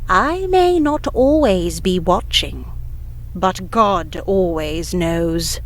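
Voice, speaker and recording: A woman's voice: lowish voice, animated, drawn-out words. A woman playing a stern, devout older nun in a visual novel game, formal and admonishing. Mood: politely stern.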